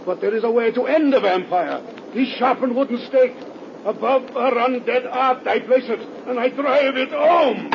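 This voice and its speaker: High-voiced, stern vampire-hunting doctor. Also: male voice, creaky. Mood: grim, solemn. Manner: grave, theatrical, deliberate. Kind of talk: 1930s radio horror acting. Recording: dull, noisy 1930s radio recording.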